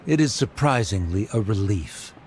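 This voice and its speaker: A man's voice: on the low side, animated, moderate pace, a little hiss or hum, natural voice. A man playing a stern, haughty figure in a video game, formal and condescending. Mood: scornful, relieved. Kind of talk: scripted video game dialogue.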